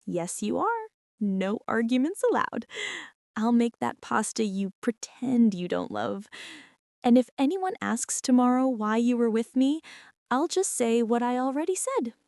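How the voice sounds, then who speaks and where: A young woman playing a bossy, smitten college girl in an intimate girlfriend ASMR roleplay, teasing her boyfriend with breathy asides. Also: a little high, lively intonation, a little slow, darkish tone, no echo, studio quality. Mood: playful, proud.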